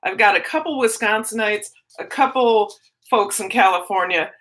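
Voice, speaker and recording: Chatty Minnesotan woman, bright voice. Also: mid-range voice, moderate pace. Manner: chatty, rambling, self-interrupting. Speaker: teacher and livestream host. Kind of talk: casual livestream chat, reading viewer comments.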